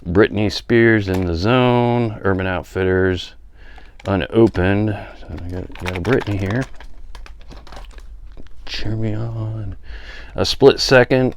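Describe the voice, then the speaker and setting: A man's voice: sits low, vocal fry, slight background noise. A middle-aged man giving casual, unscripted show-and-tell commentary, with offhand muttered asides to himself. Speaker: vlogger showing a music collection.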